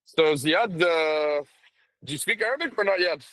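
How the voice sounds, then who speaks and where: A fairly high-pitched man talks casually on a call from a social event, with his camera off. He restarts his sentences often, and the sound is close and dry. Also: lively intonation, normal speed, fairly bright tone, dry recording, low-quality recording. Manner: chatty, stammering restarts. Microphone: phone on a call, camera off.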